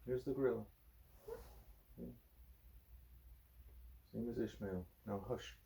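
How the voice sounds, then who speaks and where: A man, a parent, reading a book aloud expressively as a bedtime story, with a wry, deadpan manner. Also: lowish voice, slow pace, creaky, a little hiss or hum.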